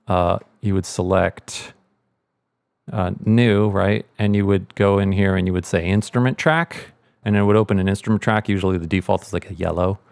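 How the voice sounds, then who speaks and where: A man's voice: sits low, steady tone, moderate pace, creaky, darkish tone, smooth, dry recording, clean studio sound. A man explaining sound design in a casual, informal tutorial, thinking aloud as he goes.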